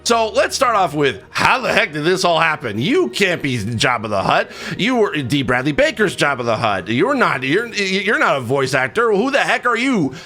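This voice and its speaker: A man hosting a casual vlog, talking in an excitable, emphatic way and often interrupting himself. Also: a little high, moderate pace, hardly any pauses, soft background music. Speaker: video game accessibility reviewer and vlog host. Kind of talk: casual personal vlog talk to camera.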